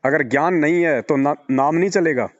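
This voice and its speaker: Male, fairly high voice, argumentative and insistent, dull phone-call recording. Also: moderate pace, dry recording. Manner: argumentative, rhetorical questions, repetitive emphasis. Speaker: religious devotee speaking to a follower. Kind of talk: persuasive phone conversation.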